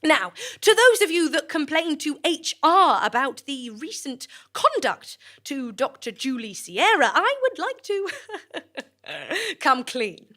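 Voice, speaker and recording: A woman's voice: high-pitched, big pitch swings, takes it slowly, fairly bright tone, full character voice. A woman playing a genial, unflappable institute director who speaks politely and formally, with droll understatement, in a comic audio drama. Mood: amused, confessional. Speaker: research institute director addressing staff.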